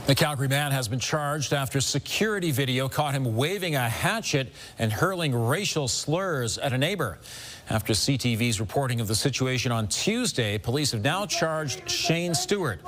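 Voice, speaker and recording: A man anchoring a local TV newscast, reading scripted stories in a crisp, measured way from a studio. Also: a little high, noisy background. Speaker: news anchor.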